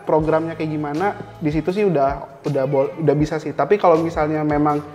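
A man's voice: fairly high pitch, steady tone, brisk, darkish tone. A young Indonesian man giving casual explanations as an interview guest, informally mixing in English tech terms. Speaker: interview guest, cyber security engineer. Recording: reverberant hall sound, faint music.

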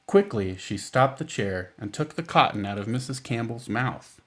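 A man's voice: medium pitch, lively intonation, normal speed, fairly bright tone. Playful man reading at children's storytime. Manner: playful, simple child-directed phrasing. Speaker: children's library storytime host. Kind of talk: picture-book reading with casual asides to children.

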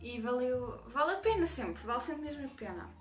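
A woman's voice: sits high, sing-song, brisk. A young woman with a European Portuguese accent, showing cheap online purchases in a hesitant, filler-heavy way. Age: young adult. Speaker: beauty vlogger. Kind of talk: casual haul video. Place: small bathroom. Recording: echoey bathroom with loud music.

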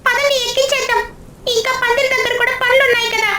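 A woman's voice: extremely high pitch, flat delivery, fast, reverberant, very noisy, pitched above the performer's own voice. A woman voicing an anxious, practical grown-up village bird in a cartoon story, earnest and sing-song. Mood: concerned. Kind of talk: cartoon moral story character acting.

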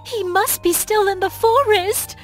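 A woman's voice: extremely high pitch, measured pace, soft background music. A woman voicing an anxious, loving mother rabbit in a children's cartoon. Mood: distraught.

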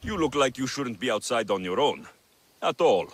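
An actor playing a confident, wry mercenary warrior of ancient Greece, dry and teasing, in video game dialogue. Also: male voice, mid-range voice, big pitch swings, normal speed.

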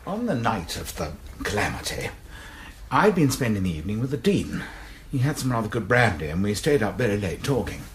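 A fussy college librarian in a radio drama. His pitch swings very widely as he explains himself, and he sounds precise and apologetic. Faint noise sits behind him. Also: very animated, brisk. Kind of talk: BBC radio drama acting.

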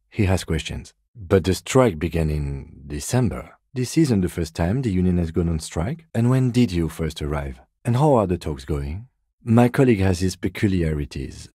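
A man playing a composed, dry-witted police lieutenant in a video game, speaking with measured, formal, deadpan courtesy. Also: deep voice, husky, dry recording. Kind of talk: video game character dialogue.

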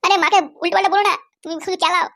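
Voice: very high voice, steady tone, rapid-fire, sharp tone. A performer voicing an insolent, foul-mouthed son who taunts and orders people around in a comedy cartoon. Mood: egging on. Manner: taunting, bossy.

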